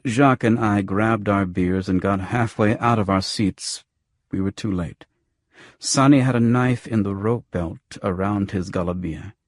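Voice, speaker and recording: A man's voice: on the low side, no echo, clean studio sound. A man narrating scripted first-person noir fiction as an audiobook.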